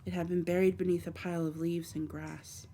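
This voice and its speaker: A woman voicing a gentle, lonely dark fae in an ASMR roleplay, speaking tenderly and hesitantly to the listener in formal storybook phrasing. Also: lowish voice, little pitch movement, a little fast, lightly acted. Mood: wistful, sorrowful. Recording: faint background noise.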